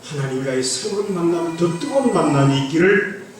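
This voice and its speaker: A man's voice: a little high, animated, brisk, slight background noise. A man from Andong with a slight North Gyeongsang accent, a guest pastor giving a playful sermon that teases the congregation. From Andong, South Korea. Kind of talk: joking testimony sermon. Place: church sanctuary. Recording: echoey church from a distance.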